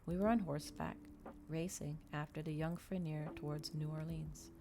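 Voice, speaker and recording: A woman reading a story aloud in ASMR style, soft-spoken and measured, as a streamer narrating a novel. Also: low-pitched, steady tone, gravelly, noisy background.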